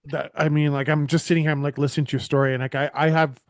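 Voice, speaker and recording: A Canadian man hosts a casual interview with an earnest, rambling delivery full of "like" and restarts. His voice sits a little high. The sound is close and very dry, with no room in it. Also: flat delivery, rapid-fire, no room sound. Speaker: podcast host.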